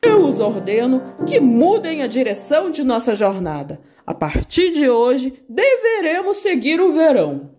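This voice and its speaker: A woman playing a shrill, excitable storybook king. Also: a little slow, few pauses, music in the background, heavily acted. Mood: commanding. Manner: excitable, shouting and bossy. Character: pampered male king who hates heat.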